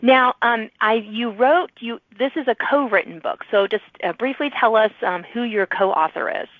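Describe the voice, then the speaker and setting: A woman's voice: a little high, moderate pace, dry recording. A woman hosting a podcast, interviewing authors in a conversational way over a thin, phone-like connection. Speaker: podcast host.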